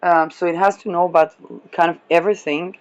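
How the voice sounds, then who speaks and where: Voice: mid-range voice, steady tone, a little fast, clear, resonant tone, muffled recording. A person giving a casual, rambling astrology talk, chuckling often between remarks. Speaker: astrology reader.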